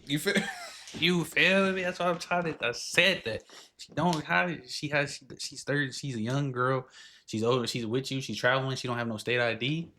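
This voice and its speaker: A man's voice: medium pitch, steady tone, moderate pace, pushed and bright. A man hosting a reaction video with casual, slangy commentary, exclaiming and repeating himself.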